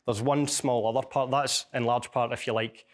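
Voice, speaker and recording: A man's voice: mid-range voice, steady tone. A man with a strong Glasgow accent gives a university seminar, telling stories and reading a passage from his book. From Scotland. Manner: storytelling, measured, with small restarts. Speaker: lecturer and author.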